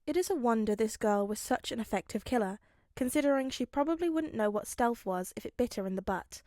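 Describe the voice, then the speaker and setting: A woman reads fiction aloud in an expressive narrating style. Her voice sits fairly high. The recording is close and dry. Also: fairly quick, no room sound. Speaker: podfic narrator.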